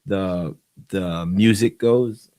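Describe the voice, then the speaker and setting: Male host, low voice, easygoing and curious in interviews. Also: very slow, warm tone. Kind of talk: casual chat on a call-in show.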